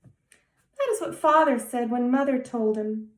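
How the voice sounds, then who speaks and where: A woman's voice: fairly high pitch, unhurried, reverberant, voice pushed up, natural voice. A woman doing an earnest, grave little boy. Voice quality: grave, emphatic childlike delivery. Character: earnest serious small boy, nearly ten.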